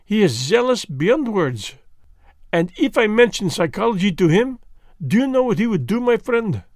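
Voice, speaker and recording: A man's voice: high voice, big pitch swings, moderate pace, a little mellow, no room sound, clean studio sound, voice pushed up. A man reading the part of a fussy, vain elderly Belgian detective who speaks precisely and drops French words. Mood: gently ironic.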